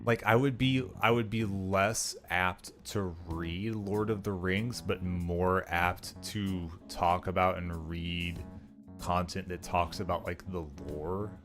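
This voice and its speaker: Low-voiced rambling male streamer. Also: takes it slowly, a bit bright, soft background music. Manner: rambling, heavy on "like" fillers. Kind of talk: casual livestream chat.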